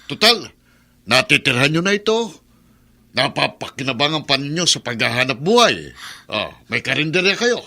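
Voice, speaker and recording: A man's voice: a little high, big pitch swings, moderate pace, bright, forceful tone, full character voice. A man playing a greedy, bullying brother-in-law in a radio drama, domineering and threatening. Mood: overbearing.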